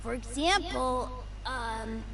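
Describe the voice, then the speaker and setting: An actress voicing an eager, proud little child who plays at being a sword master in a video game, innocent and boastful. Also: female voice, a little high, slow pace, a crowd in the background, poor audio. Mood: chatty. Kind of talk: scripted video game dialogue. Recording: game music behind the voice.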